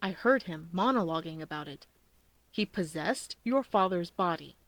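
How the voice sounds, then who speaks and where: A woman voicing an earnest, deadpan teenage boy, gravely serious about his conspiracy theories, in a fan fiction reading. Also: on the low side, moderate pace, dry recording, poor audio. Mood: grave, urgent. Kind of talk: fan fiction audiobook character voice.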